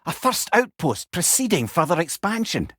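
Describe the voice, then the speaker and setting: An actor playing a wise, wry old companion in a video game, speaking with a Scottish accent in a folksy, eloquent way. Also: male voice, fairly high pitch, sing-song, moderate pace, a bit bright, slightly rough, no room sound, studio quality, exaggerated voice. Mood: warmly encouraging. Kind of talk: video game character dialogue.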